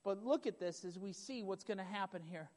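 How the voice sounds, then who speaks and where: A man preaching a sermon with a loud voice, emphatic and repetitive, building in intensity. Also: sits high, a little fast. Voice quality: loud voice at times. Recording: long hall reverb.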